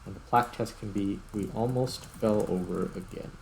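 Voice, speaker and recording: A man with a fairly low voice narrates his own gameplay in a casual way. He thinks aloud in short, broken phrases as he goes. There is noticeable background noise on the recording. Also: normal speed, gravelly, darkish tone, low-quality recording. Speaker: gaming YouTuber, host.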